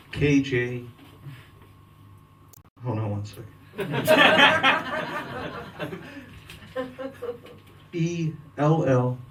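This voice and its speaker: A man doing stand-up in a slow, fumbling, self-mocking way. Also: mid-range voice, gravelly, slight background noise. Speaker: stand-up comedian. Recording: distant mic with loud music.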